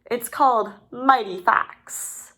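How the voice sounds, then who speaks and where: A woman voicing a smooth-talking, flattering turkey con artist in a children's storybook. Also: a little high, slow. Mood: flattering, persuasive.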